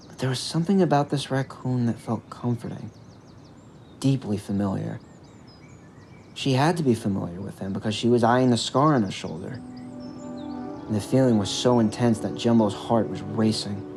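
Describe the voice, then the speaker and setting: A man's voice: mid-range voice, normal speed, long pauses, darkish tone. A man reading a scripted story as its narrator, with music behind his voice.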